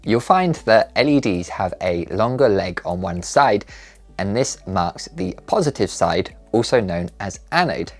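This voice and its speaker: Casting: male tutorial narrator, lowish voice, scripted step-by-step delivery, light background music. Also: expressive, measured pace. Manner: methodical, instructional. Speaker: maker channel presenter.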